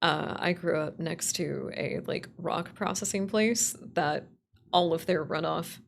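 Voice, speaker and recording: A woman's voice: lowish voice, a little slow, vocal fry, a little hiss or hum. A woman livestreamer chatting casually and playfully with viewers, rambling and swearing as she goes. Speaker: streamer, writer and voice actor.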